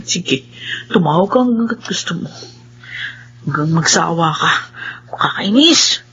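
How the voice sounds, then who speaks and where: A woman's voice: on the low side, lively intonation, slow, a bit bright, highs cut off, slight background noise. An actress with a Filipino accent playing an irritable, sleepy woman pestered by phone calls, snapping and muttering in a radio horror drama. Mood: defiant muttering.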